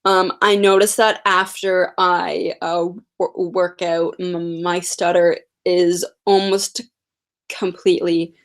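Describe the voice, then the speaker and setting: A nineteen-year-old woman who stutters, chatting slowly and casually on a livestream as she answers viewer questions. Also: on the low side, little pitch movement. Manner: stutters with repeated sounds and blocks. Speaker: livestream host.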